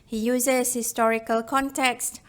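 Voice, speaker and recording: A woman's voice: fairly high pitch, level tone, a little slow, a bit bright. A woman reading a scripted book review as a narrator.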